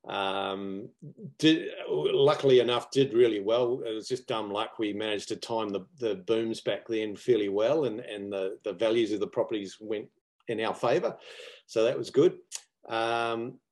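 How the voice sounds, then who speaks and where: A middle-aged man with a New Zealand accent and a slightly low voice. He talks casually as an interview guest, laid-back and self-deprecating, with halting phrasing. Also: darkish tone. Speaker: interview guest, property investor student. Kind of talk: casual anecdotal chat. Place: live video call online.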